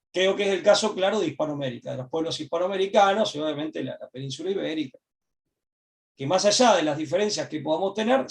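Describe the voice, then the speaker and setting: Gravelly high-voiced Argentine man, lecturing. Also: darkish tone, distant mic. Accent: Argentine Spanish. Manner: discursive, repeats key phrases, frequent hesitations. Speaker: interview guest. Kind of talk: reflective political commentary.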